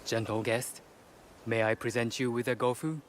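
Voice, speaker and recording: An actor playing a gentle, reverent shrine attendant in a video game, soft-spoken and ceremonial. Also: male voice, mid-range voice, fairly even intonation, normal speed, background noise, lightly acted. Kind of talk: video game cutscene acting.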